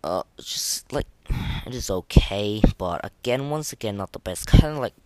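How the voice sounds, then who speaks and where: A man's voice: lowish voice, animated, moderate pace, a little mellow, no room sound. A young man hosting a casual, unscripted podcast of game ratings in a hesitant, rambling, informal way. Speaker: podcast host.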